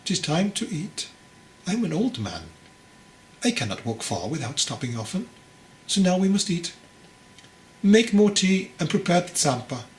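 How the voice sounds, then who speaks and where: A man voicing a stern, patient old monk who teaches in a deliberate, commanding, admonishing way. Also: high voice, sing-song, voice pushed up. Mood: brisk, commanding. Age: older. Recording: roomy echo, clear background noise.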